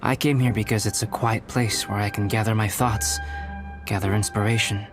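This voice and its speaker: A man voicing a calm, aloof young artist-scholar in an anime fantasy game, speaking in a measured, formal, articulate way. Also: lowish voice, lightly acted. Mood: composed. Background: game music.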